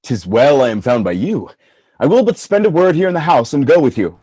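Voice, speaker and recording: Proud, stately general played by a man, very animated intonation, slightly husky, higher male voice, Shakespearean. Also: a little fast, dry recording. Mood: composed. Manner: stately, declamatory. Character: proud, self-assured male Moorish general. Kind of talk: amateur Shakespeare radio play acting. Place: home, recorded over a video call.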